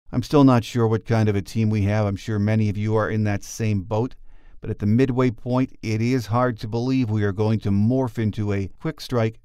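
A man's voice: fairly low pitch, dark tone, smooth, no room sound, clean studio sound. An American man hosting a sports podcast, giving prepared commentary and introducing his interview.

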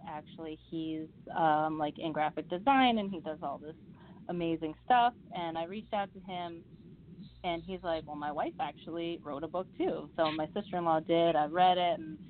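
A woman's voice: sits low, normal speed, a little hiss or hum. A young woman from the Midwest, an author being interviewed, talking in a chatty way with frequent laughs and fillers. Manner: chatty, laughs often, many fillers and restarts. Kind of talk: casual interview chat. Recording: phone-like narrow sound.

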